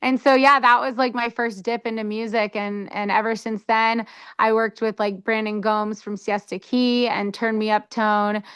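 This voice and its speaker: A young woman from the United States talks casually in an interview. Her voice is smooth and clear, and her chat is eager and full of little fillers. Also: a little high, level tone, moderate pace, a bit bright. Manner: enthusiastic, chatty with many fillers. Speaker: interview guest.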